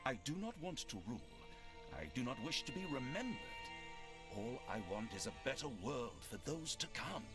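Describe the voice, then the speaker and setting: An actor playing an earnest, idealistic fantasy lord in a video game, speaking formally and solemnly. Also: male voice, mid-range voice, big pitch swings, close to an everyday voice. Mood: resolute, earnest. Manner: formal, solemn, measured diction. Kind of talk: video game cutscene acting. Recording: dramatic music behind.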